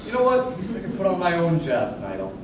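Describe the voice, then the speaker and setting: A man's voice: high-pitched, huge pitch swings, a little fast, noisy background. A young man acting and singing the part of a worn-down but hopeful mall manager in a staged musical reading. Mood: casual, wry. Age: young adult. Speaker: student actor singing and reading a lead part. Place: echoey indoor room, distant mic. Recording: echoey room from far off, music behind.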